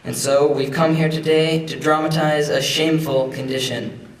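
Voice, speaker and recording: A man's voice: a little high, level tone, moderate pace. A young man, a student, giving an emphatic dramatic reading of a historic speech. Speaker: student reader. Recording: echoey hall, distant microphone.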